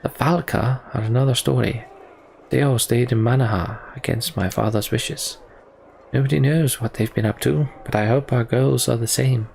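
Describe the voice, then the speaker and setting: A man playing a candid, disillusioned young prince in a fantasy game, speaking in a measured, reflective way. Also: medium pitch, steady tone, creaky, darkish tone, slight background noise, natural voice. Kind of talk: voiced game dialogue reading.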